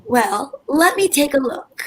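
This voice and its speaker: A woman playing an excitable wife in an old-time radio comedy, who also jokes as a fortune teller. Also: high-pitched, takes it slowly. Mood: playful. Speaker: radio play performer.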